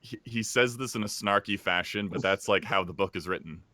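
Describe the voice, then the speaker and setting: A man chatting casually as a podcast panelist, halting but matter-of-fact. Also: fairly low pitch, sharp tone, no room sound. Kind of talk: casual chat.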